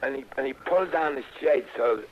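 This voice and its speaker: An actor with an American accent playing a halting, eager, streetwise informant with a husky voice in a 1960s radio drama. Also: male voice, medium pitch, close to an everyday voice. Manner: hesitant, rambling, colloquial streetwise speech. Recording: muffled old radio broadcast recording.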